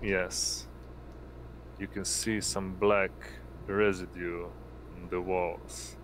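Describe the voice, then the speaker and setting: A man with a low voice narrates a tabletop game as game master. He speaks slowly and haltingly, feeling for his words, over faint background noise. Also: level tone, a bit bright, rough recording.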